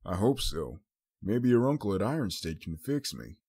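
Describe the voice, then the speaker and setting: A man voicing a gentle, protective male pony, fatherly and caring, in an audiobook. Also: deep voice, big pitch swings, normal speed, gravelly, husky, exaggerated voice. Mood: fond. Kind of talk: audiobook character voice.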